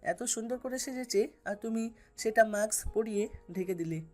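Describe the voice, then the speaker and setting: An assertive young husband, voiced by a woman, speaks in a mellow, fairly high voice. It is an audio drama with music playing behind. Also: normal speed, close to an everyday voice. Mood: reproachful. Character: protective young husband, assertive brother-in-law.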